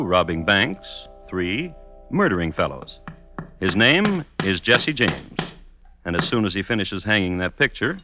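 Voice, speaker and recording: A droll host of true murder tales on old-time radio. The man's voice is fairly low and expressive in pitch, measured and deadpan, with music clearly behind it. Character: droll male connoisseur of crime hosting true murder tales. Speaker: host and narrator. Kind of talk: 1950s radio scripted narration.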